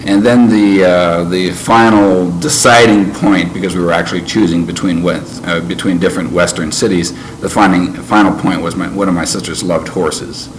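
Older guy, real low voice, kinda pausey and reflective, grew up in canada, noisy background. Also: steady tone. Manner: measured, reflective, with frequent pauses and restarts. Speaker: interviewee. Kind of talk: oral history interview.

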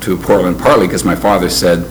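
An older man who grew up in Canada, recalling his past in an interview in a measured, reflective way with frequent pauses. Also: sits low, steady tone. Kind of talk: oral history interview. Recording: noisy background ambience.

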